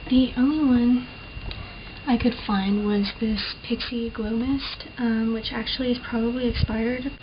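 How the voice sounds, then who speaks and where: A young woman talks through her makeup at home in a chatty, rambling way, often joking at her own expense. Her voice sits a little high and carries a slight lisp. The built-in camera mic sounds dull and muffled, with a clear layer of hiss under everything. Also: steady tone, measured pace. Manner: chatty, self-deprecating, rambling with fillers. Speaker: beauty vlogger. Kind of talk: casual makeup tutorial chat.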